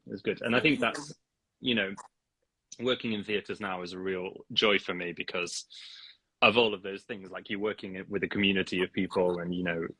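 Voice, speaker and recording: Man, low voice, chatty, self-deprecating, laughs a lot, dry close sound. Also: steady tone, normal speed, pushed and bright, slightly rough, no room sound, rough recording. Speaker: singing teacher, podcast guest. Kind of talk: casual interview chat.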